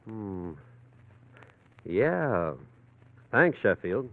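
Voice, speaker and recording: Brisk private eye, tinny radio sound. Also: male voice, fairly low pitch, big pitch swings, no room sound, full character voice. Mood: decisive. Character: brisk, confident male private detective. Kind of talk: 1940s radio drama acting. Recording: tinny, narrow-band old radio recording.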